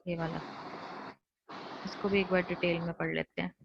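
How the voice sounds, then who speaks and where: Low-key Indian woman teacher, flat delivery. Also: moderate pace, vocal fry, background noise. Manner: explanatory, repeats points in rephrased steps. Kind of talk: online lecture explaining technical material. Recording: dull lo-fi online class audio.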